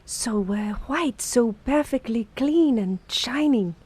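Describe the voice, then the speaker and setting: A woman's voice: on the high side, lively intonation, takes it slowly, darkish tone, husky, exaggerated voice. A woman playing a devoted, deferential French housemaid in an audio drama, polite and attentive. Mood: cheerful, polite. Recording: faint music behind the voice.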